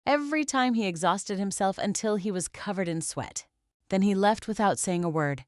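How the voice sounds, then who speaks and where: A woman voicing a gentle, clever teenage girl in a fantasy audiobook, calm and quietly teasing. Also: mid-range voice, moderate pace, no room sound, clean studio sound, natural voice. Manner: soft, calm, composed. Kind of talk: audiobook fantasy character dialogue.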